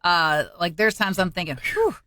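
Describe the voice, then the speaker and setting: A woman speaks frankly and emphatically as co-host of a casual chat podcast. Her voice sits low for a woman, and the sound is dry and close. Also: expressive, normal speed, pushed and bright, no echo.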